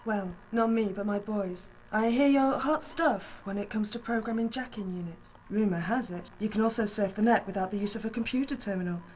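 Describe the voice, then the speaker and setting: A woman's voice: medium pitch, a little fast, hardly any pauses, a little mellow, a little hiss or hum, lightly acted, poor audio. Woman acting a tough mercenary leader. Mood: grave, businesslike. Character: tough, businesslike female mercenary gang leader. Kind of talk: audio drama acting.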